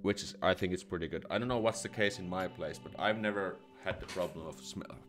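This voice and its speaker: A young man with a Finnish accent speaks in a low, deep voice into a close studio mic in a small room. His talk is casual and halting, full of restarts and fillers as he works out his answers. Faint music sits under him. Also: fairly bright tone. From Finland. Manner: halting, many restarts and fillers, thinks aloud. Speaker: host answering viewer questions. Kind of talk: casual live stream Q&A, reading chat comments. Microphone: studio condenser mic with headphones.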